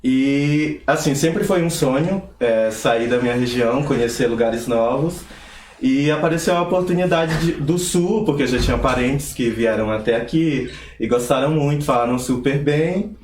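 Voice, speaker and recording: A young man from Pará, Brazil, speaking earnestly as an interview guest with measured hesitations. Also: medium pitch, a little mellow, roomy sound, a little hiss or hum. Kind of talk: conversational interview.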